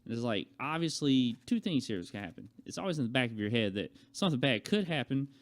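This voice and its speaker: A man's voice: medium pitch, very animated, fast talker. A young adult man chatting casually to viewers as a vlogger, telling a rambling personal story in a conversational way. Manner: conversational, acts out quoted remarks.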